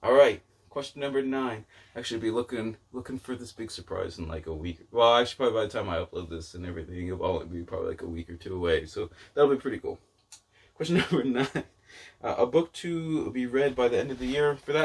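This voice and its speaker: A man's voice: mid-range voice, quick pace, breathy edge. A man talking casually to camera as a vlogger, in a rambling way that often doubles back mid-thought. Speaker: book vlogger and channel host.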